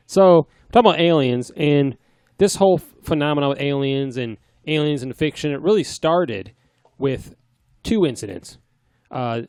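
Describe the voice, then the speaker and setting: A man's voice: mid-range voice, darkish tone, no room sound. A man co-hosting a casual podcast chat, joking around and rambling through his stories. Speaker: podcast co-host. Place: small home studio room.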